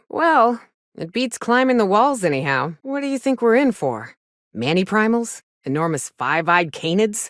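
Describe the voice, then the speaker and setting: Wry low-voiced frontier hunter. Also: female voice, no echo, studio quality. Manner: sardonic, folksy, plain-spoken. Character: gruff, wry frontier woman, monster hunter and loyal companion. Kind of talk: video game companion dialogue.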